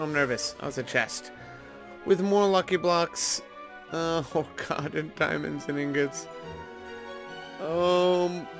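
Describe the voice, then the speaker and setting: A man with a slightly high voice gives casual commentary for a gaming video. He sounds chatty and playful, thinking aloud as he goes. Faint music plays behind him. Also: a bit bright. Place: indoor voice-over recording over gameplay. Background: background music.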